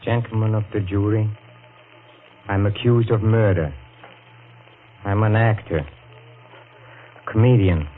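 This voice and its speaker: Earnest, halting accused comedian, tinny radio. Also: male voice, on the low side, steady tone. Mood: grave pleading. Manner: deliberate, halting, self-pitying. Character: ugly male comedian, earnestly pleading murder defendant. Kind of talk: 1940s radio drama acting. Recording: tinny old radio, faint music.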